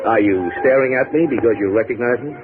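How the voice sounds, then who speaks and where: A man's voice: medium pitch, fast, very noisy, lightly acted. Man as cold gangster boss, tinny old radio. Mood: taunting. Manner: terse, controlled. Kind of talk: 1950s radio acting.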